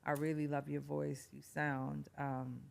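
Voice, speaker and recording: A woman in her forties, a singer-songwriter being interviewed, telling stories in a lively way, acting out quotes and laughing often. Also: low-pitched, a little slow, creaky. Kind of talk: casual interview storytelling.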